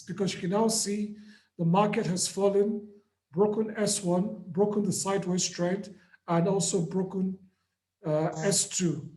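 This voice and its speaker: Hoarse man teaching live trading. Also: sits high, a little mellow, roomy sound, rough recording. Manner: patient, repeats key points. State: hoarse, lost voice. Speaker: trading mentor.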